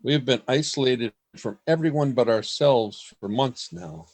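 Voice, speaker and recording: A man's voice: medium pitch, moderate pace, close to an everyday voice. A man acting in a radio-style play as an earnest, bewildered present-day grandfather.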